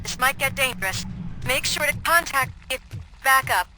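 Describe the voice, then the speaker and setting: An actress playing a concerned female police officer in a video game, briefing her partner in a brisk, businesslike way. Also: sits high, lively intonation, background noise.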